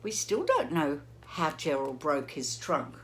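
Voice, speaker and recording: A woman teacher reading a picture book aloud to children, gently pausing to ask the listener questions. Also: sits low, wildly swooping pitch, slight background noise. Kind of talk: children's read-aloud lesson.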